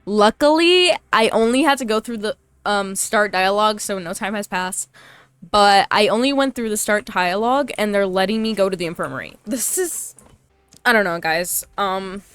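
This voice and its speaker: A young woman giving casual, blunt, joking commentary as she plays a video game, in a clear, smooth voice. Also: mid-range voice, normal speed, a bit bright, no room sound, soft background music. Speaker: gaming YouTuber.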